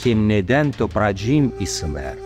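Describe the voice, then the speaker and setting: A man with a Gagauz accent giving scripted narration and reciting a poem in a slow, measured way. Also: on the low side, big pitch swings, a little mellow, faint music behind. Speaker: promo narrator.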